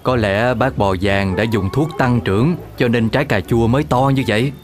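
Casting: man playing patient animal father in a kids' cartoon, mid-pitched, earnest, explanatory, music underneath. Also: moderate pace, close to an everyday voice. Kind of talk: animated children's cartoon dialogue.

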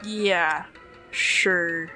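A woman voicing an apathetic fast-food cashier in a cartoon comic dub, weary and unimpressed. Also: on the low side, faint music behind, exaggerated voice. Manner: flat, hesitant, unimpressed.

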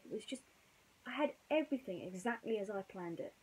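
A young woman telling a children's story informally, with a theatrical, expressive delivery. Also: mid-range voice, normal speed, slight background noise, low-quality recording. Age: young adult. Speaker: bedtime storyteller. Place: small bedroom, indoors.

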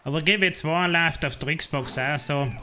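Bright-voiced South Tyrolean streamer. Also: male voice, fairly high pitch, normal speed, slight background noise. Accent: South Tyrolean German. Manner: rambling, complaining, many fillers. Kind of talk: casual gameplay livestream chat.

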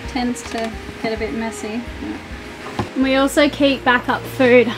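High-voiced young woman touring her boat. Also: fairly even intonation, measured pace, loud music behind the voice, low-quality recording. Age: young adult. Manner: chatty, upbeat, matter-of-fact. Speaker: sailing vlogger. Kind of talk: casual boat tour. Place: cabin and galley of a sailing catamaran.

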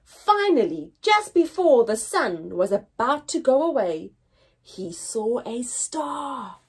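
Young female storyteller for a kids' read-aloud, high animated voice with a touch of husk, dramatic emphasis and pauses. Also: husky. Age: young adult. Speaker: children's story reader. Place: indoors, small room with painted backdrop.

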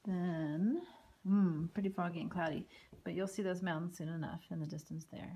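A woman giving a casual walking tour of a house and its backyard, filmed on a handheld phone, with chatty remarks that trail off into soft asides. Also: fairly low pitch, fairly even intonation, normal speed, slight background noise. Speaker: home tour vlogger. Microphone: phone camera held while walking.

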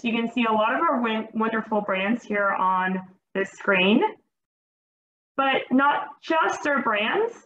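A woman's voice: medium pitch, fairly bright tone, slight background noise. A young woman from Venezuela presenting eagerly over slides on a video call, speaking through a mask with frequent fillers. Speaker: engineering manager presenting to teachers. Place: at her workplace, seen small in video-call window. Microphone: video call, masked. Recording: roomy, dull video-call audio.

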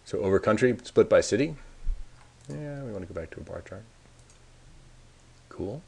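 A man's voice: on the low side, lively intonation, moderate pace, gravelly, darkish tone, husky. A man narrating a casual screencast tutorial as the presenter, informally thinking aloud as he works. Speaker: software presenter giving a demo.

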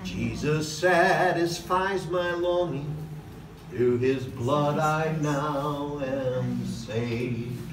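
A middle-aged man preaching a sermon from a podium in a large church hall, passionate, with "okay?" asides and slow emphatic lines. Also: a little high. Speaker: pastor. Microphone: far camera in the hall. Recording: echoey hall recorded from a distance with noise.

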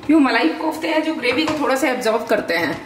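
A middle-aged woman with a fairly high voice calmly explains each cooking step. She is picked up by a camera mic from across a home kitchen. The room sounds echoey and distant, with faint sizzling from the pan. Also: fast talker, a little hiss or hum. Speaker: home cook, channel founder. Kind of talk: cooking demonstration. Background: sizzling and stirring in a pan.

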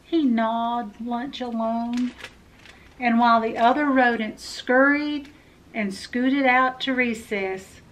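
A middle-aged woman reads a picture book to children as a library storyteller. Her voice is fairly high and she takes her time. The delivery is expressive. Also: slow pace, a little hiss or hum, poor audio. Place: indoor room with full bookshelves.

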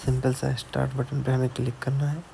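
A man's voice: medium pitch, monotone, brisk, creaky, dark tone, slight background noise. A man who repairs mobile phones, narrating a screen-recorded tutorial step by step and often checking in with the viewer. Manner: explains step by step, keeps adding "theek hai". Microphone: voice-over on a screen recording.

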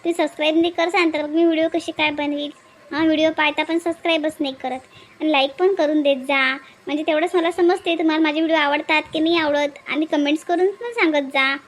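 High-pitched woman addressing cartoon channel viewers. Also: fairly even intonation, fast.

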